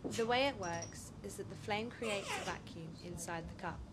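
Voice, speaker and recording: A woman reading scripted documentary narration in a measured, clear and informative way. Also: medium pitch, very noisy. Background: loud background music.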